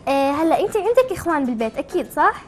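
A woman's voice: high voice, sing-song, rapid-fire, soft background music. A woman hosting a chatty live TV call-in, asking simple, encouraging questions. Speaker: TV show host.

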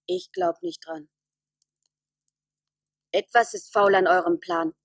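A woman's voice: medium pitch, big pitch swings, slow pace, creaky, no echo, clean studio sound, full character voice. An actress playing a doubtful, demanding young village woman in a radio play, dramatic and insistent. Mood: suspicious, urgent. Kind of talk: German radio play acting.

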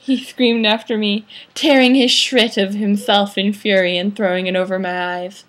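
A woman's voice: medium pitch, level tone, moderate pace, heavy background noise. A young woman reading fan fiction aloud in a theatrical, mock-dramatic way, recorded on a webcam microphone in a small room. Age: young adult. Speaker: amateur reader. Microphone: webcam or laptop microphone at arm's length.

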